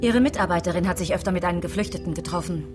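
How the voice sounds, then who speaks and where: An actress playing an assertive police commissioner in a radio drama, speaking in a dry, pointed, naturalistic way. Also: female voice, fairly low pitch, racing, music in the background, close to an everyday voice. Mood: pointed, challenging.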